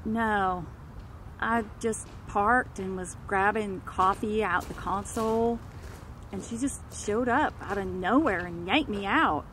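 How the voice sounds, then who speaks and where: A woman's voice: mid-range voice, slow pace, close to an everyday voice. A woman playing a shaken, folksy middle-aged Texan in an audio drama. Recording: street ambience with vehicle noise.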